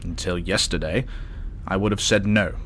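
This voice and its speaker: A man playing a measured, thoughtful starship doctor who speaks in calm, reflective science-fiction drama dialogue. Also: sits low, a little hiss or hum. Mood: cautious.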